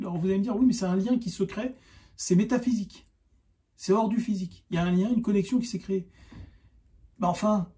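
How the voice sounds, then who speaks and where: A man giving an unscripted, argumentative opinion monologue, insistent and halting, often repeating himself. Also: high voice, dark tone. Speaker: online video commentator.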